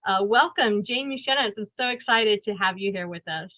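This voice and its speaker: A woman's voice: medium pitch, moderate pace, sharp tone, dry recording, low-quality recording. A woman hosting and introducing a poetry reading over a video call, with small hesitations as she reads the introduction. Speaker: host and editor. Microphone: video call microphone.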